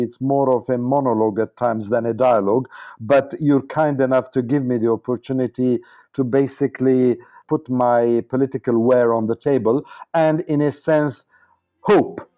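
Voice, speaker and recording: A man's voice: mid-range voice, warm tone, muffled recording. A man speaking as an interview guest, giving earnest, discursive political commentary with emphatic lists and repetition.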